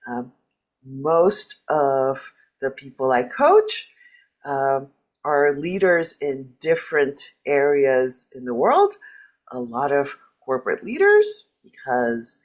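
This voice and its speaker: A woman coach with a low voice, whose first language is Spanish. She talks slowly but playfully, and her pitch swings widely. The tone is casual and friendly. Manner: lively, playful, quick jumps in pitch. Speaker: leadership coach. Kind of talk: casual chat.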